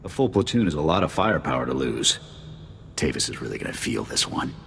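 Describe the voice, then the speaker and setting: A cynical, gruff sergeant in a video game. His very deep voice moves widely as he grumbles, with some hall reverb. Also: big pitch swings, fast talker, fairly bright tone, slight background noise, full character voice. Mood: grumbling. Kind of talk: video game cutscene acting.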